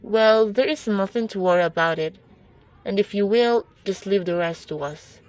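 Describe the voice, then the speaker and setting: A woman's voice: mid-range voice, moderate pace, darkish tone, lightly acted, rough recording. A young woman acting in a student radio drama as a placating, dismissive police officer on duty.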